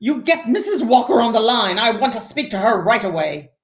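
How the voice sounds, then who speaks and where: A woman's voice: on the high side, very animated, brisk, roomy sound. A woman acting a snappish, nervous middle-aged personnel examiner in a 1940s-style radio play, brusque and officious. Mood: indignant. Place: live radio play stage.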